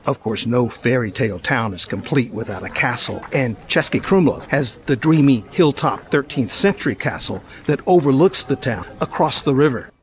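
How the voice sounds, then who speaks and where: A middle-aged man hosting a travel show, narrating in a relaxed, conversational way with small hesitations as he walks around outdoors. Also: mid-range voice, moderate pace, few pauses, a little hiss or hum. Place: outdoors in a busy tourist town. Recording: crowd chatter behind the voice. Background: crowd of tourists.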